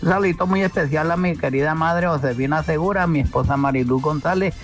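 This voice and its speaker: Male caller, high voice, eager and showy. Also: fast, soft background music. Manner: enthusiastic, show-off. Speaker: radio caller.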